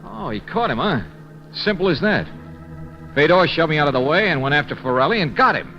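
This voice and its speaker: An actor playing a tough, impatient private eye in an old radio drama, clipped and wisecracking. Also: male voice, fairly high pitch, very animated, brisk, bright, forceful tone, full character voice. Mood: puzzled. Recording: muffled old radio recording, music behind.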